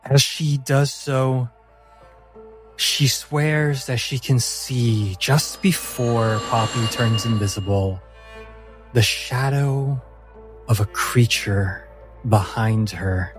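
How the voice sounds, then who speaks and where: A man narrating a tabletop fantasy combat as game master, in a deliberate, vivid storytelling manner. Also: lowish voice, fairly even intonation. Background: music.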